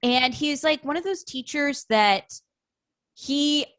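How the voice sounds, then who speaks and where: A woman's voice: high voice, takes it slowly, fairly bright tone, no room sound. American woman chatting casually, very dry sound. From Illinois, United States. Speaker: podcast guest, actor. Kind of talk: casual anecdotal chat.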